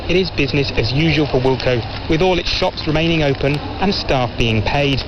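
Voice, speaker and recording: A man's voice: fairly high pitch, a little fast. A man giving a scripted report as a business reporter, heard over shortwave radio. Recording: dull, noisy shortwave radio relay.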